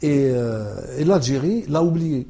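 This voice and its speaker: A male author answering questions in a thoughtful, halting way. His voice is dark and mellow, with a husky edge. He restarts sentences often and pads them with fillers. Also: mid-range voice, expressive. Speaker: author, interview guest. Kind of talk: hesitant conversational interview.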